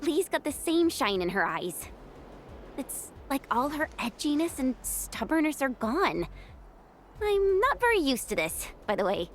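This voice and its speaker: Casting: upbeat young female game companion, high and very lively, chatty, curious; some background ambience. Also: measured pace, a little hiss or hum. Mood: puzzled, chatty. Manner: casual, animated, expressive. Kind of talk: video game voice acting.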